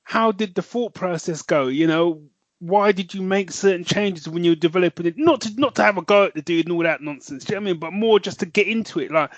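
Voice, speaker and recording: A man's voice: high-pitched, quick pace, no echo. Man, podcast co-host, rambly, laughs a lot, dry studio sound. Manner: rambling, self-correcting, laughs easily. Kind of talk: casual podcast chat.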